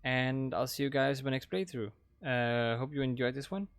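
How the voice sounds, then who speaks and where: A young man speaks English with a Danish accent, his voice gravelly with a touch of fry. He rambles casually and hesitantly, full of ums and restarts. The sound is close and dry, with no room around it. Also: medium pitch, normal speed, dry recording, soft background music. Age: young adult. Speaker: gaming channel host. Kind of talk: casual commentary wrapping up a playthrough. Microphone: desk microphone.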